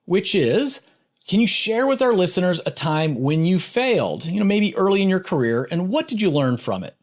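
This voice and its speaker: A man's voice: high voice, big pitch swings, moderate pace. A middle-aged man hosting a podcast, presenting and interviewing in a conversational way. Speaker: podcast host interviewing a guest. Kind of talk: scripted intro and conversational interviewing.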